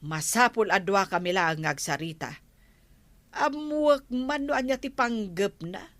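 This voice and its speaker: Slow-talking Ilocano village matron, played by an actress. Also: female voice, mid-range voice, a bit bright, dry recording, natural voice. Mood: inquiring, firm. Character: village captain's wife, calm inquiring matron. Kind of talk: Ilocano radio comedy drama acting.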